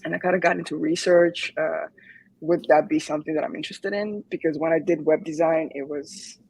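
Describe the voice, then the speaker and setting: Husky-voiced woman from Croatia. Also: low-pitched, fairly even intonation, fairly quick, a little mellow, poor audio. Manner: hesitant, many fillers and restarts, laughs often. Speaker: guest, UX design graduate. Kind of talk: casual interview chat.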